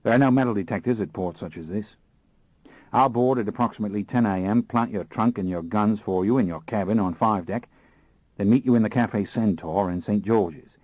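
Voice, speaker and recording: A man voicing a tall, alert, suspicious Australian fixer with an Australian accent and a brisk, businesslike manner. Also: fairly low pitch, darkish tone, no room sound, close to an everyday voice, rough recording.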